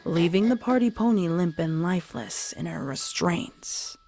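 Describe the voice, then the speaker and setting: A woman's voice: fairly low pitch, a little slow, a little mellow. A woman narrating a scripted horror fan fiction in a dramatic storytelling style.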